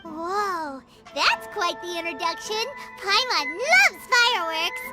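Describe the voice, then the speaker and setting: A woman voicing a tiny, excitable fairy-like companion in a video game dub, chattering in quick exclamations. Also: very high-pitched, over loud music, exaggerated voice. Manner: refers to herself in the third person, quick exclamations.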